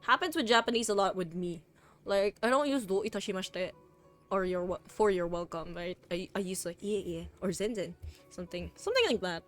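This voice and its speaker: A woman's voice: medium pitch, brisk, fairly bright tone, soft background music. A young woman from the Philippines, chatting casually on a stream and rambling with fillers and restarts.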